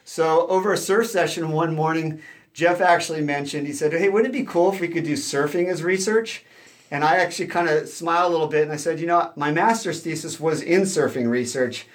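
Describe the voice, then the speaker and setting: A man from Southern California, an associate professor, answering interview questions in a steady, explanatory way with frequent fillers. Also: a little high, brisk.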